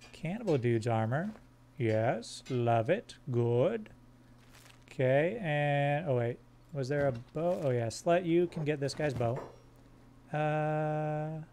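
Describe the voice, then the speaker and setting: A man streaming a game live, joking crudely with his chat in a fairly monotone voice while sorting his squad's gear. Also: on the high side, slight background noise. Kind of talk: live gameplay commentary.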